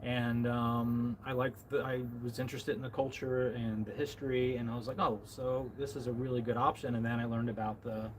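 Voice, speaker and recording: A man's voice: mid-range voice, level tone, fairly quick, hardly any pauses, slight background noise, poor audio. Rambling American man hosting a live chat. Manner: rambling, many fillers and restarts. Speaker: livestream host.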